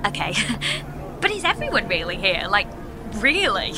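A woman's voice: medium pitch, very animated, unhurried, background noise. A woman playing an exasperated, sardonic space traveller in a sci-fi audio comedy, incredulous and dry in manner. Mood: bemused.

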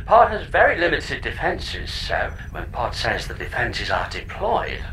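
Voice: mid-range voice, huge pitch swings, a little slow, fairly bright tone, slight background noise, exaggerated voice. An actor voicing a glitchy male spaceship computer in an audio comedy, pedantic, childish, petulant and evasive. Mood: matter-of-fact. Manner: petulant, literal-minded, evasive. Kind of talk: audio comedy drama acting.

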